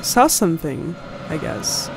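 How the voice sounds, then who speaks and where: A young woman gives casual, reactive gameplay commentary, swearing freely. Her pace is slow and she speaks close to a studio mic, with clear game ambience behind her. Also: low voice, big pitch swings, very noisy. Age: young adult. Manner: reactive, exclamatory, profanity-laced. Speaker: gaming video host. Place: indoors at a home recording setup. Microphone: close studio condenser mic. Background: horror game ambience.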